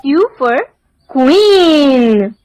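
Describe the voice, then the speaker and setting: A woman narrating a children's alphabet lesson in a deliberate sing-song manner, enunciating each word. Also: sits high, lively intonation, a little mellow, slight background noise.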